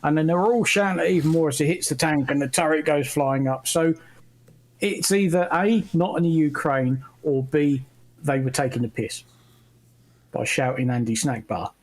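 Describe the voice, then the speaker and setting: Brief: man with a fairly high voice, chatty guest on a live stream, mild cursing. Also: moderate pace, low-quality recording. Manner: chatty, mildly swearing storyteller. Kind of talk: casual chat.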